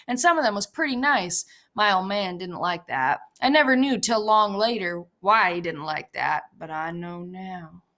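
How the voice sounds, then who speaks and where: A woman reading the part of a bitter, guarded older male stable hand, with blunt, sharp rural speech. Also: mid-range voice.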